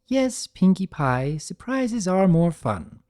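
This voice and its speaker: Narrator acting refined cartoon unicorn lady, raised pitch, proper, proud, formal, easily flustered, storybook reading. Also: big pitch swings, slow pace, darkish tone. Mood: prim.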